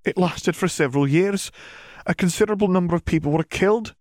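A man voicing a stern, grave older British witch professor who explains things in a measured, formal way. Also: sits high, no echo, clean studio sound, voice pushed up, close to an everyday voice.

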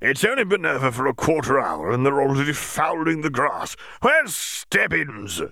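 A man's voice: medium pitch, very animated, moderate pace, vocal fry, sharp tone, no echo, clean studio sound, voice pushed up, exaggerated voice. A man voicing an effusive, blustering male sports official in an audiobook story. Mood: indignant.

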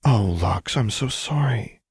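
A man voicing a caring, supportive woman knight in an audiobook story, speaking softly and encouragingly. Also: sits low, expressive, normal speed, breathy edge, dry recording, heavily acted. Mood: sympathetic. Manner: soft, soothing, encouraging.

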